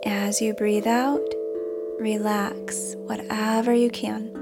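A woman reading a script as a meditation guide, with a soft, deliberate, instructional delivery. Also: medium pitch, flat delivery. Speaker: meditation guide and podcast host. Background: background music.

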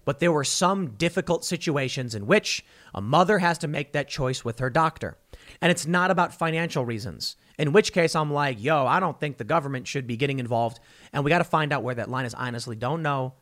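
A man's voice: medium pitch, fairly bright tone. A man hosting a political commentary show, talking off the cuff in a rambling, argumentative way and repeating words in bursts as he makes his points. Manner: rambling and argumentative, voicing imagined exchanges. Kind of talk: unscripted political commentary monologue.

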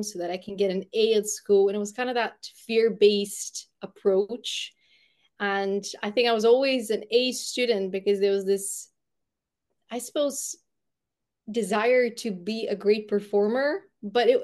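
A woman's voice: on the high side, a little mellow. A woman from Bulgaria speaking English, a podcast guest earnestly reflecting on her childhood and schooling. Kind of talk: reflective storytelling.

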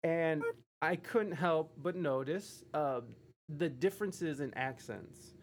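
A man's voice: on the high side, expressive, takes it slowly. A man in a casual livestream chat, speaking in a hesitant, rambling, self-deprecating way as he interviews. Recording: faint background noise.

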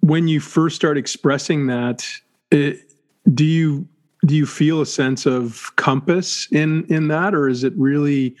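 A man hosting a life story podcast, asking questions in a halting way, searching for words with many ums and restarts. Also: on the high side. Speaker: podcast host. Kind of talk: casual podcast interview.

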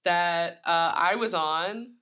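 Lower-voiced woman co-hosting a podcast. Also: slow pace, pushed and bright, clean tone. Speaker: podcast host and producer. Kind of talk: casual podcast banter.

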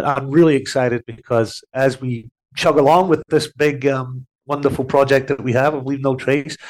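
A man's voice: medium pitch, rough recording. A man with a head cold and a congested voice, chatting as a radio guest in a rambling way with many fillers. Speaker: TV series host as guest. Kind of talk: casual radio interview chat.

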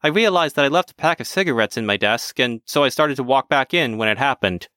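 A man's voice: mid-range voice, animated, a little fast, bright, forceful tone, no room sound, studio quality, voice pushed up. A man voicing a mild-mannered accountant in his thirties, telling his story in a hesitant, rambling way. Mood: flustered. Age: 30s. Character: mild-mannered young male office accountant.

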